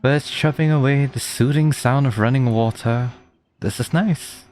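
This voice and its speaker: A man's voice: medium pitch, measured pace, a little mellow. A man giving casual playthrough commentary as a video game streamer. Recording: game music behind the voice.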